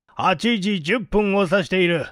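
A man voicing a proud, exasperated police detective in a storybook reading, with a groaning, drawn-out delivery. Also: sits high, fairly bright tone, no room sound, studio quality, pitched above the performer's own voice. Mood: groaning, despairing.

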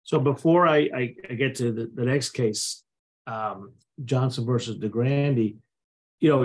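A man from the Dominican Republic speaking conversationally on a video call panel, with many fillers and restarts. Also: medium pitch. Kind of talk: informal commentary in a panel.

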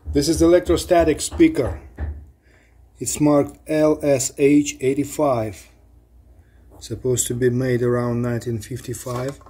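A man casually narrating his hands-on repair work as a hobbyist, in a halting, matter-of-fact way. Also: mid-range voice, steady tone, warm tone, a little hiss or hum. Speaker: hobbyist radio restorer. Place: indoor home electronics workbench.

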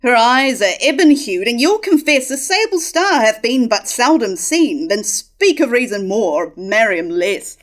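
A woman's voice: fairly high pitch, big pitch swings, unhurried, few pauses. A woman in her thirties playing a scornful, scheming royal woman in a staged verse tragedy reading. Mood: coldly persuasive. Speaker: actor reading Herod's scheming sister in a staged play reading. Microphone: stand microphone at a lectern.

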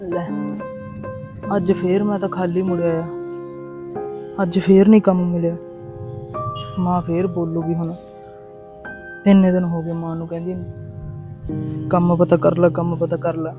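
Casting: a man as a downcast jobless son, quiet and flat-toned, music underneath. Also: sits high, measured pace, pauses often. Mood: dejected. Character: jobless young man, dejected and ashamed. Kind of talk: short-film drama acting.

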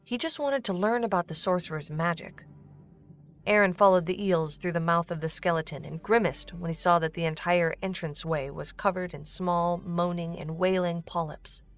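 A woman's voice: on the low side, normal speed. A woman narrator reading a scripted story for an audio drama. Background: music.

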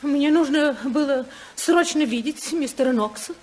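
Actress as wronged society wife, high voice, proud, pleading, indignant, vintage radio drama. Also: female voice, normal speed, a little mellow, close to an everyday voice. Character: proud, distressed senator's wife, defiant under accusation. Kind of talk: 1950s Soviet radio drama acting.

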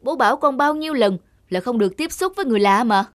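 A woman's voice: a little high, lively intonation, fast talker, no room sound, studio quality, close to an everyday voice. A woman voicing a protective, earnest father of a sick child in a cartoon. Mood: stern, scolding. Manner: admonishing, parental, earnest. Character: stern, protective, worried father of a sick boy. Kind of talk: cartoon dubbing. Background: background music.

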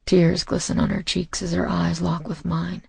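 A man narrating a romance audiobook in the first person, intimate, hushed and intense, with deliberate phrasing. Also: high-pitched, completely flat delivery, dry recording. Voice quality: husky, breathy, gravelly.

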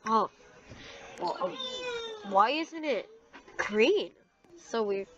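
High-pitched, slow-talking female roleplayer. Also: expressive, highs cut off, background noise. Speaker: player in a Roblox roleplay video. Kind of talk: improvised game roleplay chat.

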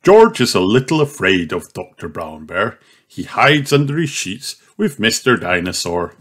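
Low-voiced man reading slowly, very animated. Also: noisy background. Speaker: storybook reader. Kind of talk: scripted children's story narration.

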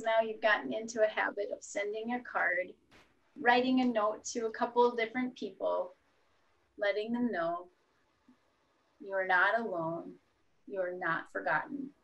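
A middle-aged woman pastor leading an online worship service from a small room at home, speaking gently and encouragingly over a video call. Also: a little high, steady tone, unhurried, lo-fi sound.

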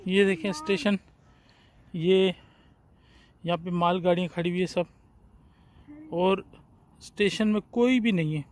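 A man in his forties vlogging to his phone camera on an outdoor train platform at night, in a chatty, relaxed way. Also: high voice, unhurried, pauses often. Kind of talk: casual vlog narration. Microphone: phone at arm's length. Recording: faint outdoor background noise.

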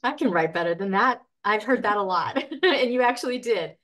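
A woman's voice: medium pitch, lively intonation, moderate pace. A middle-aged woman, a librarian, moderating an author panel over a video call with encouraging questions. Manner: warm encouraging questions with soft "mm-hmm"s.

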